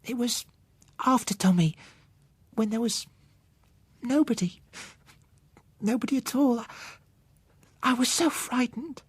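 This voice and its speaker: Guy doing a little orphan girl for an audiobook, high small voice, earnest and plain. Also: slow, pauses often, husky, no room sound, heavily acted. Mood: tearful, frightened. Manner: earnest, simple questioning.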